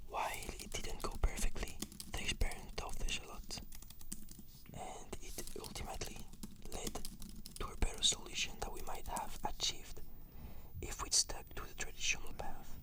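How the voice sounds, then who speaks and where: A person whispering scripted questionnaire answers in an ASMR roleplay, in a breathy, measured and articulate way.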